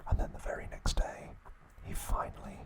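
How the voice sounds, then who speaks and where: A man hosting ASMR trivia, speaking softly in short, unhurried phrases with gentle pauses. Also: low-quality recording. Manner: unhurried, soft, pausing between short phrases. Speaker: ASMR host. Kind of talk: whispered ASMR trivia narration.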